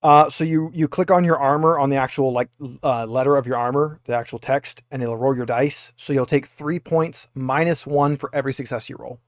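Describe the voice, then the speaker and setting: A man's voice: mid-range voice, fairly even intonation, a little fast, few pauses, dry recording, clean studio sound. A man acting as game master, talking players through dice rolls and rules in a tabletop roleplaying session.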